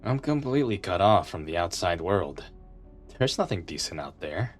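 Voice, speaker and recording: A man playing a gloomy, reclusive young private detective in an anime dub, lethargic and deadpan. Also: sits low, normal speed, faint music behind. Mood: gloomy, listless.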